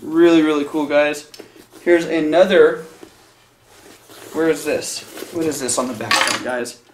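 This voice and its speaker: A man's voice: fairly high pitch, fairly even intonation, measured pace, noisy background. Chatty man reviewing gear, echoey room. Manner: enthusiastic, chatty, addresses viewers as guys. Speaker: product reviewer. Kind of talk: casual unboxing review. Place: small indoor room with a decorated Halloween backdrop.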